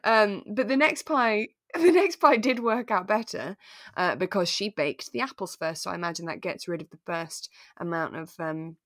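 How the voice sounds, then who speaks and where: A woman's voice: medium pitch, moderate pace, dry recording, studio quality. A woman co-hosting a podcast in casual, playful chat, recapping a drama. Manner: chatty, playful. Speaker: podcast co-host.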